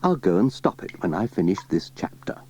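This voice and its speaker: A man voicing an absorbed, easily bothered woman in a children's storybook, breathy and musing. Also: low-pitched, very animated, brisk, darkish tone, slight background noise. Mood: absent-minded. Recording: dull cassette transfer with music.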